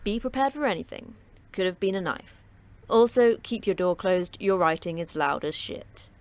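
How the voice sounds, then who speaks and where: An actress playing a terse, blunt station manager at a secret facility in an audio drama. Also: female voice, on the low side, moderate pace, lightly acted. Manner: blunt, dry, clipped.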